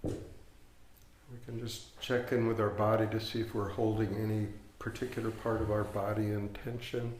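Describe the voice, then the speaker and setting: A man teaching a guided meditation, giving hushed, unhurried instructions with long pauses. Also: fairly low pitch, flat delivery. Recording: roomy echo, faint background noise.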